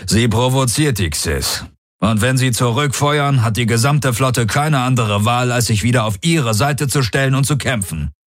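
A man voicing a gruff, resolute male alien fleet commander in an audiobook, terse and military. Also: mid-range voice, fast talker, few pauses, no echo, clean studio sound, voice pushed up, lightly acted. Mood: grim.

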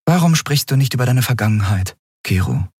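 A man voicing an impulsive, stubborn young woman who captains an airship, earnest and quick to argue. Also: mid-range voice, expressive, a little mellow, slightly rough, no room sound, clean studio sound, voice pushed up. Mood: teasing.

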